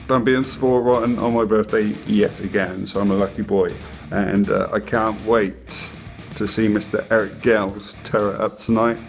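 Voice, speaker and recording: Guy, playful chatty vlog, mid pitch, faint music behind. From England. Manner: chatty, playful, a little tipsy-joking. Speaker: vlogger. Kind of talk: casual vlog to camera. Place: hotel room.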